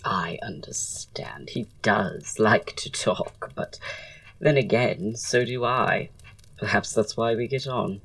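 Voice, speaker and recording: A woman's voice: a bass voice, slow pace, a bit bright, dry recording, far from the mic, exaggerated voice. A woman acting in an audio drama as a flamboyant, witty, teasing male Victorian playwright, with a theatrical drawl and arch, florid wording. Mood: amused, delighted.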